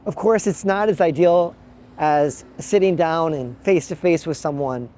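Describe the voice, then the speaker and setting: A man from the Midwest vlogs outdoors into a small handheld camera, his voice a bit high and mellow. He muses aloud, pausing and restarting his sentences as he thinks things through. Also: slight background noise. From Midwest United States. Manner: thoughtful and musing, with frequent pauses and restarts. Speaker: travel vlogger. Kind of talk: reflective vlog monologue to camera. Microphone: handheld pocket gimbal camera.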